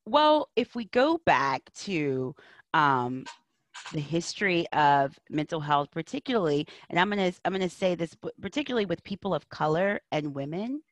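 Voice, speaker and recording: A woman's voice: on the low side, animated, moderate pace, no room sound. A woman speaking as an interview guest, a counselor and educator, giving earnest, emphatic advice in reflective answers.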